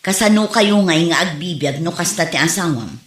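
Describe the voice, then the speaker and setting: A woman's voice: on the low side, lively intonation, brisk, lightly acted. A woman acting a practical older relative, kind but bluntly disapproving, in a comedy drama recorded in an echoey room. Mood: stern, disapproving.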